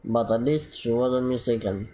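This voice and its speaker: A man reading video game dialogue aloud as he plays, halting and repeating phrases as he goes. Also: mid-range voice, dark tone, rough recording. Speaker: gaming streamer. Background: game music.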